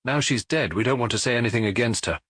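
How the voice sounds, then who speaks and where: A man's voice: medium pitch, fast, fairly bright tone, slightly rough, voice pushed up. A man voicing a guarded, plain-spoken young working girl from a dance hall in an audiobook. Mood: guarded, curt. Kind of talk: audiobook character dialogue.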